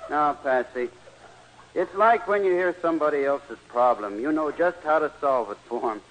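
An actor playing a meek, earnest greeting-card poet, hesitant and apologetic, in 1940s radio comedy style on a muffled old broadcast. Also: male voice, fairly high pitch, normal speed, fairly bright tone, natural voice. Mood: regretful.

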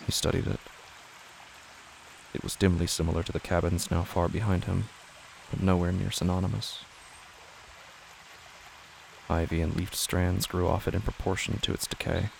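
Man, deep voice, heavy fry, hushed brooding horror narration, deliberate phrasing, faint background noise. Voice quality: gravelly. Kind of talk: scripted horror story narration.

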